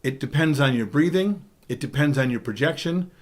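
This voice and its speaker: A middle-aged man with a baritone voice giving a DJ training talk, emphatic, often checking in with "okay?". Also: animated, normal speed. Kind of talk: spoken presentation.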